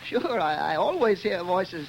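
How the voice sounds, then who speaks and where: A man's voice: sits high, very animated, a little slow, gravelly, faint music behind, exaggerated voice, rough recording. An actor playing an unhinged, giggling male killer who stammers and talks in crude grammar, in a 1930s radio drama. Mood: uneasy.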